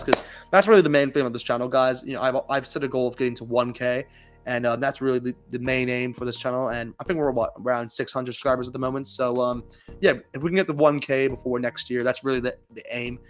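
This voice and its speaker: A young man with a mixed, hard-to-place accent chats quickly to camera about rugby. He rambles, circling back with fillers and restarts, at a middling pitch. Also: steady tone, faint music behind. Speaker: rugby YouTuber. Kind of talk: casual to-camera vlog.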